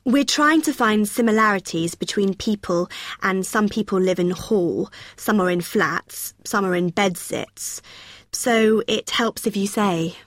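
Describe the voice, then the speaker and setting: A friendly young female student helper, played by a woman explains things patiently in an everyday listening-exercise dialogue. Her voice sits at a middle pitch, recorded very dry. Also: unhurried, no room sound, lightly acted. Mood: friendly, helpful. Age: young adult. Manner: helpful, explanatory.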